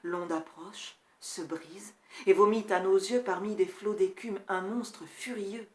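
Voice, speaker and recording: A woman performing a grief-stricken male tutor in a classical verse tragedy, solemn and measured, moving between hushed and forceful delivery. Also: mid-range voice, fairly even intonation, roomy sound, natural voice. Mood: solemn dread. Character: grief-stricken male tutor recounting his prince's horrific death. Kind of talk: dramatic classical French verse recitation.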